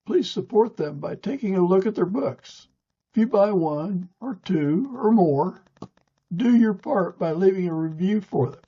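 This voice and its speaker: A man's voice: high-pitched, animated, unhurried, husky, lo-fi sound. A man from the United States hosting a conversational interview podcast.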